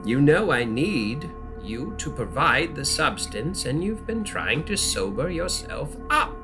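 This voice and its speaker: A man playing a malevolent, calm knight in an audio drama, taunting and hungry, with a theatrical, drawn-out, mockingly friendly delivery. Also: on the high side, over music. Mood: sinister pleading. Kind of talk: audio drama villain monologue.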